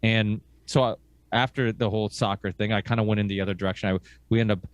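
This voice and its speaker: A man born in Portugal, hosting a podcast and telling stories in a relaxed, rambling way. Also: on the low side, fast, smooth, no room sound, highs cut off. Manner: rambling, relaxed storytelling with fillers. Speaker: podcast host. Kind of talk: casual conversation.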